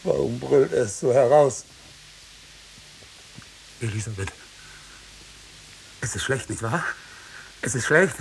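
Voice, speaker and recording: An actor playing a tormented condemned farmer wrestling his conscience, halting and intense, in 1950s German radio drama style. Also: mid-range voice, expressive, creaky, slight background noise, low-quality recording. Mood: anguished.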